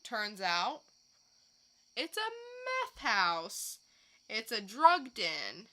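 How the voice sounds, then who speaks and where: A woman with a bright, forward voice talks at an unhurried pace as a podcast co-host. She gives a casual recap, a little hesitant as she pieces her thoughts together. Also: fairly high pitch, very animated. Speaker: podcast co-host reviewing comics. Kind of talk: casual, unscripted comic recap.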